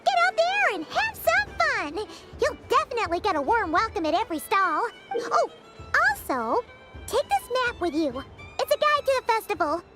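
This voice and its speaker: Very high, animated, excitable game girl. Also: normal speed. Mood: cheerful, eager. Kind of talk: video game voice acting. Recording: loud music under voice.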